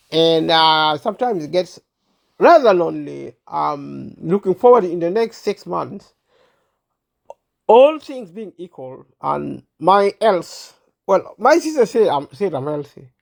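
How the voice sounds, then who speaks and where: A man of sixty from Uganda, hosting his own channel and talking to camera in a halting way, with many pauses, fillers and restarts, clearing his throat as he is unwell. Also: a little high. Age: 60s. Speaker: channel host. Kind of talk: casual talk to camera.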